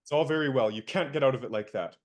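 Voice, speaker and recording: Young guy playing a fed-up fake valet, crisp and bossy, radio play. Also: medium pitch, fast, lightly acted. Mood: indignant. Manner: crisp, lecturing, indignant. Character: indignant young man posing as a valet.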